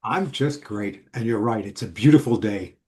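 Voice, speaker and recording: A middle-aged man from Switzerland, a guest author explaining his work in a measured, listing way. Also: lowish voice, a little mellow. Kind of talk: casual interview.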